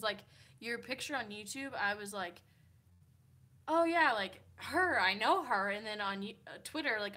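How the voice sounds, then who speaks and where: Young woman, early twenties, bright voice, chatty rambling livestreamer, laptop mic in a hotel room. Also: medium pitch. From Kansas, USA. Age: 20s. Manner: chatty, rambling, many "like" fillers, laughs often. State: tired. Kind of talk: casual livestream chat reading comments.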